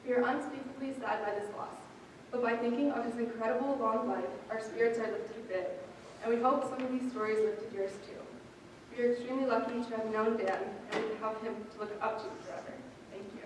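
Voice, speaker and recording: A woman's voice: a little high, steady tone, a little fast. Young woman reading a memorial speech, super echoey hall, bit of noise behind. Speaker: granddaughter giving a eulogy. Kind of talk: prepared memorial speech, read aloud. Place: large reverberant hall at a memorial service. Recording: echoey hall, distant mic, noisy.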